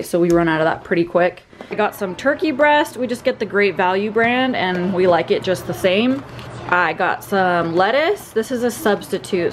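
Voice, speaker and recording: A woman's voice: mid-range voice, slight background noise. A young woman chatting casually in her home kitchen while showing off her weekly groceries, upbeat and rambling. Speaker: vlogger. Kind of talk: casual grocery haul chat.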